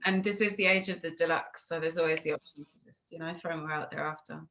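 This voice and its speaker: A woman's voice: low-pitched, fairly even intonation, fast talker, fairly bright tone, rough recording. A woman with a British accent hosting a casual, encouraging interview over a video call, chatty and informal. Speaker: interviewer and host.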